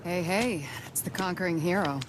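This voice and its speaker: A tough American woman agent played by an actress leads in a video game cutscene. Her lowish voice is plainspoken and wry, with brisk, determined command. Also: noisy background, natural voice. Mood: wry, upbeat.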